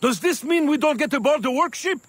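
A man's voice: extremely high pitch, animated, brisk, no echo. An actor voicing a desperate captive man in a video game, agitated and pleading. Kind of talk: video game dramatic dialogue.

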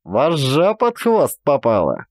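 A man's voice: medium pitch, huge pitch swings, takes it slowly, no echo, studio quality, exaggerated voice. A man voicing a jovial, needling fellow who teases and presses his companion, in a dramatised audiobook. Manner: teasing, mirthful, pressing. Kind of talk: dramatised audiobook character acting.

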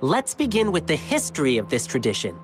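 A man's voice: a little high, wildly swooping pitch, brisk. A young man voicing an earnest, eager lion dance performer in video game story dialogue, explaining things in an encouraging way. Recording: game music behind voice. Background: music.

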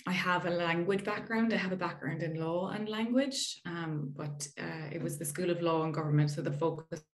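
A woman's voice: fairly low pitch, moderate pace. Chatty Irish woman presenting on a call. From Ireland. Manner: friendly, chatty, frequent "um" fillers. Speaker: researcher giving a talk. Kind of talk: casual presentation over video call. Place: indoor room at home. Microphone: video call.

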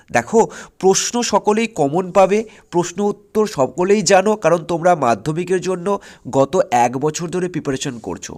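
A man with an Indian Bengali accent from West Bengal teaching an exam-prep lesson, repeating his points and often checking that students follow. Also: high-pitched. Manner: instructive, repeats points and keeps checking understanding with short questions. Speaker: exam-prep history teacher on an online channel. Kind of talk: informal lesson, explaining exam strategy step by step.

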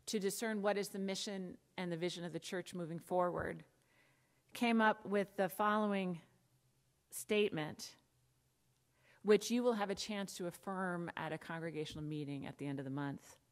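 A woman's voice: lowish voice, normal speed. A middle-aged American woman pastor preaching from the pulpit in a church sanctuary, conversational with asides and emphatic lists. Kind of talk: sermon.

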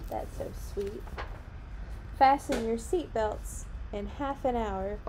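A woman's voice: on the high side, slow pace, mellow, low-quality recording. A young adult woman reading picture books aloud to children, expressively, with her own little asides on the story. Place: small room at home, indoors. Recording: noticeable background noise, home recording.

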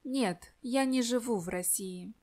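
A woman giving slow, careful scripted example answers in a language lesson. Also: a little high, darkish tone.

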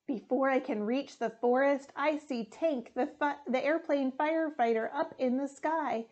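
A young woman reading a children's storybook aloud in a deliberate, expressive way. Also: sits high. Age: young adult. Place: small room indoors.

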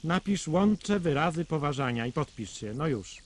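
Man acting a flustered, animated father, fairly high voice. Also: normal speed, slight background noise, natural voice. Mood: fussy, dictating. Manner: exasperated, huffy, hesitant when dictating. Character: flustered, irritable middle-aged father, bossy and fussy. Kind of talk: acted radio play dialogue.

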